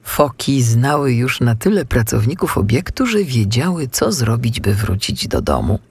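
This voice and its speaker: A man narrating a scripted children's audiobook in an expressive, measured storytelling manner. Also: mid-range voice, vocal fry, husky.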